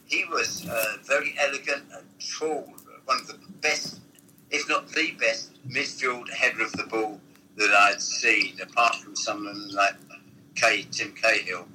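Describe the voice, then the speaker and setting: A man's voice: on the low side, fairly even intonation, a little slow, bright, forceful tone, slight background noise, rough recording. An older man, a former football manager, telling rambling stories from his past in an interview. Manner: rambling anecdotal storytelling. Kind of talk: reminiscing interview.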